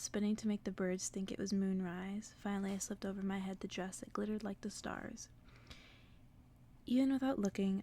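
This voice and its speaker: A woman reading a story aloud in a soft, breathy, unhurried ASMR whisper, as if to send the listener to sleep. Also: mid-range voice.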